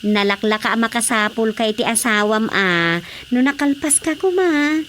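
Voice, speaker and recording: A woman acting as a lively, easily surprised female cousin in a comedy radio drama. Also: a little high, normal speed, natural voice. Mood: amused. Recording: faint background noise.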